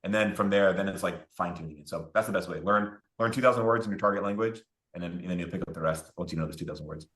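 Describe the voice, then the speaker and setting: A man guest on a podcast, talking casually and quickly, repeating words as he explains. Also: sits low, a bit bright, echoey room. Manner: fast, loose, repeats words while explaining. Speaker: guest, investment firm co-founder.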